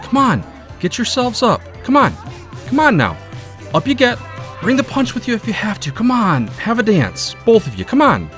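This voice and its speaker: Animated, bossy party guest. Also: female voice, fairly high pitch, faint music behind, some chatter behind. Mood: bossy, coaxing. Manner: insistent, brisk coaxing. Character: bossy, sociable party guest urging friends along. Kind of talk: audio drama acting.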